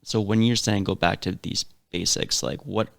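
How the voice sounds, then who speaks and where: A laid-back man from Saskatchewan, co-hosting a podcast. His voice is lowish and gravelly, and the recording is close and dry. He asks curious questions casually, peppered with "like". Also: steady tone, moderate pace, a bit bright, no room sound. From Saskatchewan, Canada. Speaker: podcast co-host.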